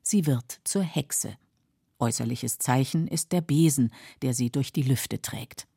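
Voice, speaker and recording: A woman narrating a scripted literary radio feature in a measured, explanatory manner. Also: very deep voice, creaky, dark tone, husky, dry recording, clean studio sound. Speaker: radio presenter explaining a novel.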